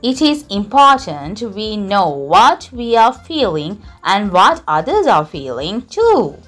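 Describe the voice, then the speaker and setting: A patient gazelle schoolteacher, put on by a woman reading aloud, slow and careful with every word. Her voice lifts and dips in wide, singsong swings over soft background music, like a storybook read to small children. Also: mid-range voice, sing-song, pitched above the performer's own voice, heavily acted. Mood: kindly teacherly. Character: gentle female gazelle teacher, patient.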